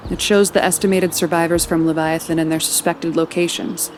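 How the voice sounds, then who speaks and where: Music plays under an actress as a resolute, caring woman leader in an audio drama. Her voice sits a little low, and she speaks earnestly and frankly, with tenderness. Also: level tone, brisk, lightly acted, low-quality recording. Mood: grave, resolute. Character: caring, determined woman leader of scattered immortals. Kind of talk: naturalistic audio drama acting.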